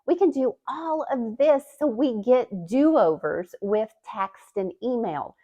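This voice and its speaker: A woman hosting her own channel as a communication coach, giving a scripted, expressive talking-to-camera explainer with emphatic rises in her delivery. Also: a little high, slow. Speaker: YouTube host and communication coach.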